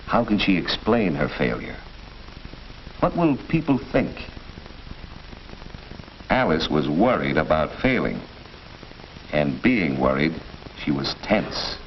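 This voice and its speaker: An American man playing a kindly, patient family doctor in a 1940s instructional film, lecturing in a measured, deliberate way. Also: low voice, full character voice. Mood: grave, explaining. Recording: muffled, hissy 1940s film soundtrack.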